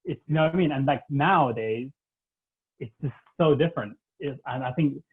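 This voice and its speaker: A man's voice: medium pitch, brisk, slightly rough. A man, chatting casually as a podcast guest and rambling with lots of "like". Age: 30s. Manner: rambling, heavy use of "like". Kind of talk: casual chat. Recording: tinny phone-like line.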